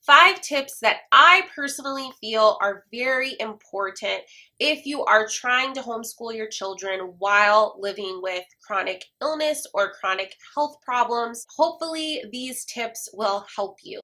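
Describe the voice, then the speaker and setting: A woman's voice: on the high side, lively intonation, measured pace. A woman with a congested, stuffy-nosed voice, earnestly talking to camera as a homeschooling mom. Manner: earnest, gentle, encouraging. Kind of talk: personal talk to camera.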